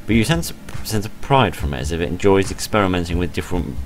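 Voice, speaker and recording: British man, low-pitched voice, lively intonation, let's play narration, wry asides, music in the background. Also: quick pace. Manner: expressive, deadpan sarcastic asides. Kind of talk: reading a visual novel aloud with wry asides. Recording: music behind the voice. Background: game music.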